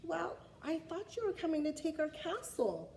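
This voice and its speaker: A woman voicing a bossy, indignant male king who shouts his lines while reading a storybook aloud. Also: extremely high pitch, very animated, normal speed, creaky, reverberant, slight background noise, pitched above the performer's own voice, full character voice. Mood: explaining.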